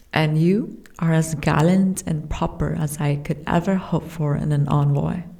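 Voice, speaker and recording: A woman voicing a gentle, wistful young giantess princess with courtly, formal phrasing in a soft ASMR fantasy roleplay. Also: sits low. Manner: formal, archaic, genteel phrasing.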